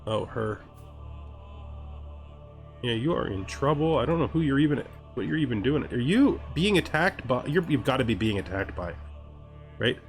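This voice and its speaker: A man with a mid-pitched voice narrates a strategy game in a casual way. He muses aloud as he plays, posing questions to himself and answering them, with music playing behind his voice. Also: sing-song, normal speed, pauses often. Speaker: gamer narrating a let's play. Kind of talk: casual gameplay commentary, thinking aloud.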